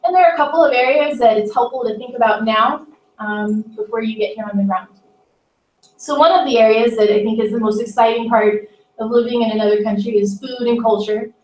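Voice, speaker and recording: A woman speaking earnestly and encouragingly, explaining things deliberately as a staff leader briefing new volunteers on a conference call. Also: on the high side, darkish tone. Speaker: Peace Corps program staff leader briefing incoming volunteers. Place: indoor room on a conference call. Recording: echoey room, distant microphone.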